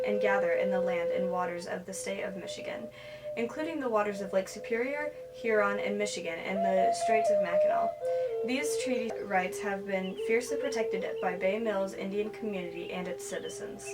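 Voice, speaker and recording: A woman reading a formal statement in steady, scripted narration. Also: mid-range voice, distant mic, low-quality recording.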